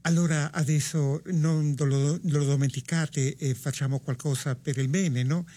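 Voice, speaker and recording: A man's voice: fairly high pitch, steady tone, unhurried, mellow. A man with an Italian accent hosting a radio show in a studio, friendly and rambling as he mixes languages. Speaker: radio host.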